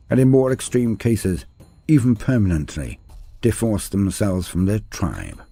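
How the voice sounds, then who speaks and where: A man's voice: lowish voice, mellow, breathy edge. A man reading scripted fantasy war lore in a grandiose, theatrical way, addressing the listener formally. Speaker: narrator of a Warhammer lore guide. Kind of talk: scripted dramatic lore narration.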